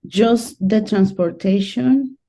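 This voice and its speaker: A woman's voice: mid-range voice, takes it slowly, mellow. A woman from Colombia speaking English with a Colombian Spanish accent, explaining things plainly in a casual conversation. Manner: explains plainly, with simple grammar. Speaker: guest.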